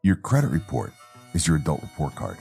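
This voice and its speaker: A man's voice: a bass voice, wildly swooping pitch, a little fast, gravelly, music in the background. A man who hosts a show, reading a scripted promotional advertisement. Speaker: podcast host advertising his show.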